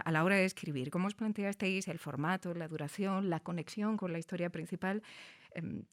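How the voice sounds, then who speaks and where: A woman interviewing guests in a thoughtful, conversational way, recorded in a studio. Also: on the low side. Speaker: interviewer and host.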